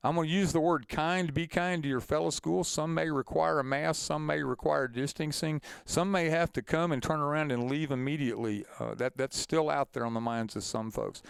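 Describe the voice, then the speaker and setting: A man presents an informal instructional webinar. His voice is very smooth and clean at a medium pitch, recorded dry with no room sound. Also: dry recording.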